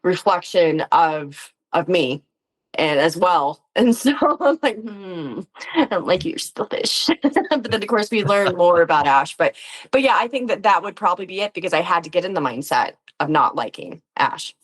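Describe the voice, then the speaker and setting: Woman on the lower side chatting casually as a guest, thinking out loud, giggles a lot. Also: animated, normal speed. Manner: giggly, laughs often while talking. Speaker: guest, player and actor on a tabletop game show. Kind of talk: casual reflective interview chat.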